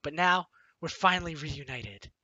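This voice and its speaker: A man's voice: fairly high pitch, moderate pace, no echo, lo-fi sound. A man hosting a let's play, reading game text aloud theatrically and commenting on it. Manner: animated, theatrical. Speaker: gaming video host. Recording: music behind the voice. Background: game music.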